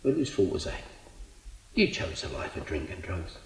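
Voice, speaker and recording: A man's voice: sits low, sing-song, normal speed, gravelly, exaggerated voice. A man playing an exasperated, guilt-ridden middle-aged character in a colloquial, emotionally volatile stage monologue. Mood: indignant, exasperated. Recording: echoey room.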